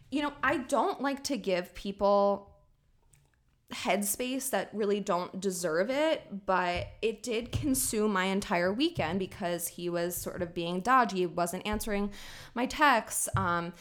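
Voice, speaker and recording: Woman co-host, lively storyteller, casual and profane, mid-range voice. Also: mid-range voice, slow pace. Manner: animated, chatty, swears casually. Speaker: podcast co-host.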